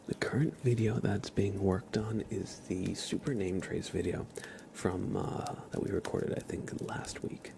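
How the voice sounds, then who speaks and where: A man hosting an ASMR livestream, chatting casually and gently with viewers in a soft, breathy whisper. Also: low voice, steady tone, unhurried, background noise. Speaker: live ASMR streamer and host.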